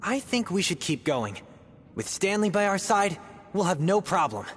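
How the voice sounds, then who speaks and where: A man's voice: sits high, big pitch swings, moderate pace, fairly bright tone. An actor voicing an earnest young male adventurer in a video game, polite and admiring. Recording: reverberant hall, faint ambient noise. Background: faint ambience.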